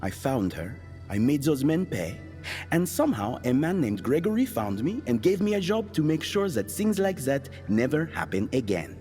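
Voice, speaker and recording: An actor playing an irritable, world-weary hired killer in an audio drama, dry, sarcastic and menacing. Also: male voice, medium pitch, very animated, normal speed, warm tone, big-room sound, soft background music, lightly acted. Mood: grave, guarded.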